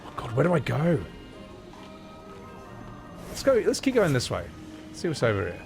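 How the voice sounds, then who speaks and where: Guy streaming a game, casual sweary blokey chat, really animated voice, music in the background. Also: medium pitch, moderate pace, creaky. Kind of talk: casual chat while playing a video game.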